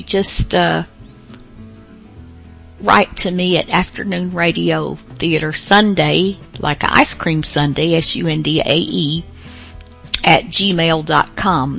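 A woman hosts a podcast in a casual, off-the-cuff way. Her lowish voice has plenty of fry and moves very slowly, with hesitant, rambling phrases over faint music. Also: a bit bright. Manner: hesitant, rambling, pausing often. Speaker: podcast host. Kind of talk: casual, unscripted show introduction.

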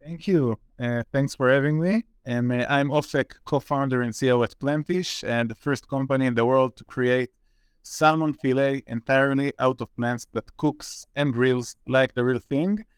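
A co-founder introduces himself on a panel, halting often and adding a bit of dry humor. His voice is mid-pitched and clear, recorded dry. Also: fairly even intonation, dry recording. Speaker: company co-founder.

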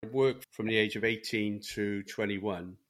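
Middle-aged welsh man, lowish voice, candid and rambling interview guest. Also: steady tone, moderate pace, fairly bright tone. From Wales. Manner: rambling, candid, many restarts and "you know". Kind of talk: candid life story.

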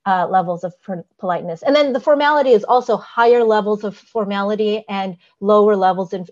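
A woman's voice: mid-range voice, a little fast, darkish tone, dull audio. A woman co-hosting a podcast, explaining things carefully in casual chat, with frequent "um"s and a giggly manner. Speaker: podcast co-host.

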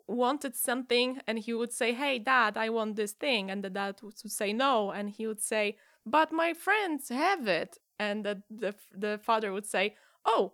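A woman from Poland with a Polish accent, a guest chatting casually on a podcast and telling stories with lively restarts. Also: fairly high pitch, dry recording, clean studio sound. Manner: lively storytelling with many restarts. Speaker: guest improviser.

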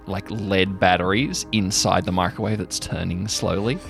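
A man's voice: sits low, steady tone, moderate pace, gravelly, a bit bright, faint music behind. A man narrating an improvised audio drama in a casual, descriptive way, making it up as he goes. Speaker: game-master style narrator.

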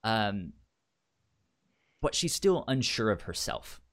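A man's voice: lowish voice, sing-song, slow, a bit bright. Stammering man chatting about a book. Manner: stammers on word starts, repeats words. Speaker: podcast co-host. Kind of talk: casual book club chat.

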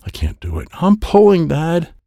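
An anxious young boy acted by a man tells his adventure in an audiobook. He speaks in simple, breathless phrases that leap up and down in pitch. The sound is close and dry. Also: wildly swooping pitch, moderate pace, gravelly, warm tone, no room sound, exaggerated voice. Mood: scared. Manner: simple, breathless present-tense childlike phrasing. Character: excitable, anxious little boy telling his own adventure. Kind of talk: dramatic audiobook narration.

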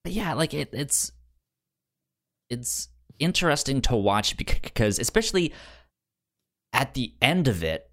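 Male podcast co-host, medium pitch, loose conversational delivery, halting with repeated word starts. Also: big pitch swings, fairly bright tone. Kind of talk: casual film discussion.

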